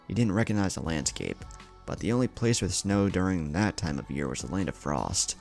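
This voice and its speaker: A man narrates a scripted adventure story in a low voice. His delivery is varied in pitch, with a gravelly creak in it, over faint music. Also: lively intonation, fairly quick, darkish tone. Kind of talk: scripted narration of fan fiction.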